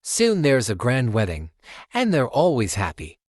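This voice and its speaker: Man reading a children's story, lively intonation. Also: medium pitch, unhurried, a little hiss or hum. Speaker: storytime channel host reading children's books. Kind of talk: read-aloud children's story narration.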